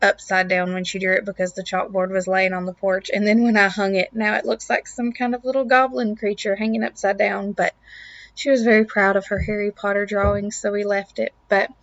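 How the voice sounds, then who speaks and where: A young woman with a raspy voice and a lingering cough, talking in an upbeat, earnest way as a casual vlog voiceover about home and family. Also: medium pitch, little pitch movement, a little fast, no room sound, dull audio. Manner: upbeat, earnest, faith-filled. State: lingering cough, throat clearing. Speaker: lifestyle vlogger, mom of two.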